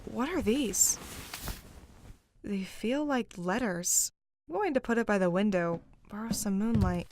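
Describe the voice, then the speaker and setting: A woman's voice: mid-range voice, measured pace, darkish tone, close to an everyday voice. A woman acting a protective, agitated young fugitive woman in a fantasy audio drama, insistent and caring.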